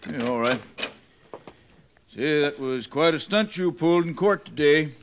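Mild cowtown clerk in old radio western, slightly high voice, folksy, unsure. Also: male voice, animated, unhurried, slight background noise. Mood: doubtful. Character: mild cattle office clerk in frontier town.

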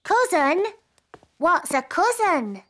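A woman playing a bossy, excitable little girl princess in a cartoon, with a childlike voice and dramatic, emphatic delivery. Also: very high voice, slow pace, full character voice. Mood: wistful, curious.

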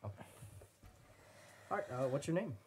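A woman's voice: a bass voice, big pitch swings, moderate pace, a little mellow, a little hiss or hum. A woman playing an inquisitive researcher who questions a survivor in a radio drama. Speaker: interviewer.

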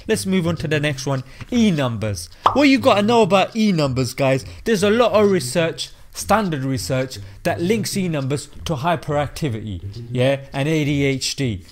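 High-pitched, animated British young man. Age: young adult. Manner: exaggerated, slangy, mock-indignant. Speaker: comedy presenter. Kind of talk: scripted comic commentary to camera. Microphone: desk microphone on boom arm.

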